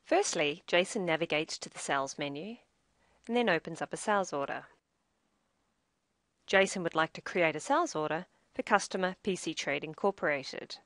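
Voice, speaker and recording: A woman presenting a software demo as scripted instructional narration, measured and explanatory. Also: lowish voice, expressive, dry recording.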